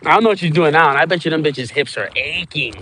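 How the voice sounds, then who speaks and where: A man's voice: on the high side, fast talker, pushed and bright, a little hiss or hum, rough recording. Profane, rambling Cuban man on the water. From Cuba. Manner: profane, rambling, stoned banter with viewers. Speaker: livestreamer. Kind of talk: rambling livestream chat. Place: outdoors on open water in a small boat. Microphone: phone.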